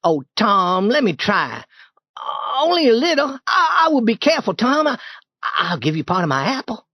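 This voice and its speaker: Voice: mid-range voice, sing-song, moderate pace, pushed and bright, dry recording, studio quality, pitched above the performer's own voice. A storyteller voicing an eager young boy who pleads and stammers. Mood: pleading.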